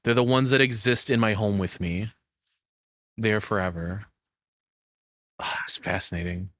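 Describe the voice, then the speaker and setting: A man's voice: lowish voice, a little slow, a bit bright. A man giving casual, spontaneous reaction commentary as a streamer, enthusiastic and informal, speaking close to the microphone.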